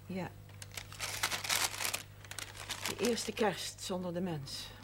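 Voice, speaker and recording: A woman's voice: on the low side, unhurried, close to an everyday voice. An actress playing a proud, sober widowed shopkeeper and mother in a naturalistic television drama. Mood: sober, subdued. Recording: faint noise, 1970s television soundtrack.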